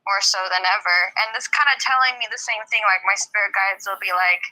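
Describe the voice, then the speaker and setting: Very bright woman on a phone line. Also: medium pitch, a little fast. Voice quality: very loud voice. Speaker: guest. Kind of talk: casual chat.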